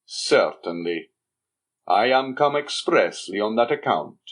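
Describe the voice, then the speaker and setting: A man voicing an aging schemer who poses as a dignified father, reading in a measured, stately way. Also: on the low side, lively intonation. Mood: solemn. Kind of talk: audiobook character reading.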